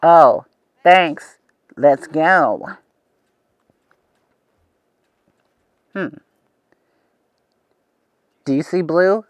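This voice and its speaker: A man's voice: fairly high pitch, extremely slow, dry recording. A man playing a cheerful, eager young host of a preschool TV show, talking to the viewer in an exaggerated, wide-eyed way. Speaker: children's show host character.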